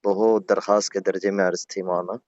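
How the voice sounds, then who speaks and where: A man's voice: sits low, level tone, quick pace, a little mellow, dry recording. A man from Gujarat pleads his case deferentially and earnestly as a caller in a private phone conversation. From Gujarat, India. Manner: deferential, earnest, repeatedly addressing the listener respectfully. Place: on the road, phone call. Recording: muffled, dull phone-call recording.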